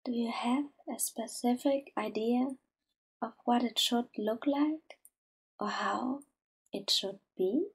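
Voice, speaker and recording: Breathy, slow-speaking woman guiding meditation. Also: on the high side. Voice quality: soft, breathy, often near whisper. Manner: gentle, unhurried, with pauses. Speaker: guided meditation host. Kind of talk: slow soothing guided meditation.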